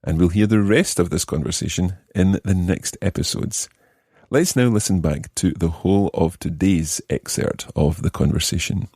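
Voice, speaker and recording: A man's voice: deep voice, measured pace, a little mellow, breathy edge, dry recording, studio quality. A man presenting a scripted language lesson, explaining step by step and repeating phrases. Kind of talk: scripted explanatory teaching narration.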